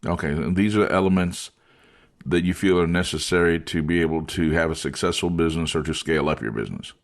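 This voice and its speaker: A man's voice: deep voice, fast talker. A man hosting a podcast interview, talking in a casual, friendly way with small jokes. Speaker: podcast host.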